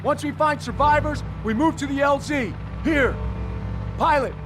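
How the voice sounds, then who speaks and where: A man's voice: very high-pitched, measured pace, over music. A man voicing a tough, driven special forces commander in a video game cutscene, terse and commanding. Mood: brisk, commanding. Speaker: military squad commander.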